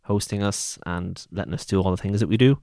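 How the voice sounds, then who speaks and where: A man hosting a podcast, easygoing in chat and brisk in his sign-off. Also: low voice, gravelly, warm tone, dry recording, studio quality. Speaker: podcast host. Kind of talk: casual chat.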